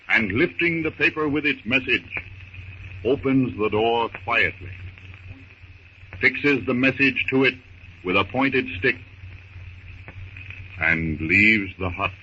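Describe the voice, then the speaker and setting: A man giving dramatic scripted narration for a 1930s radio serial, theatrical and deliberate. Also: medium pitch, pushed and bright. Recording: noisy, muffled 1930s radio recording.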